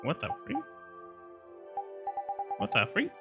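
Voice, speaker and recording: A man does casual live game commentary with playful, slangy reactions to what is on screen. Game music plays clearly behind him. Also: slow pace. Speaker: game streamer.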